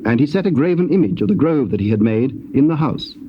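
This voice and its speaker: A man's voice: mid-range voice, lively intonation, fast. Male scripture narrator on muffled, noisy tape.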